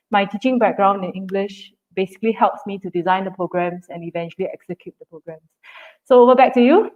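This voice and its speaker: A woman who teaches English, giving a friendly, measured introduction of herself as a panelist. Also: medium pitch, darkish tone. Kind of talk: self-introduction. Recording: tinny phone-like call audio.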